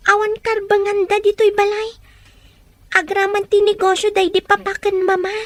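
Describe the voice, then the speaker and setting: A woman playing a mistreated young girl, obedient yet quietly resolute, speaking plaintively in an Ilocano radio drama. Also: very high voice, completely flat delivery, moderate pace, no room sound.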